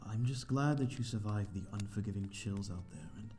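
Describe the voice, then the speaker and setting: Man playing a deep, mellow, courteous vampire. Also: brisk, slight background noise, lightly acted. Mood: gracious, self-deprecating. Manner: hesitant, polite, formal old-fashioned phrasing. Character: courteous, lonely old-fashioned male vampire living in a manor. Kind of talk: slow ASMR audio roleplay, addressing the listener.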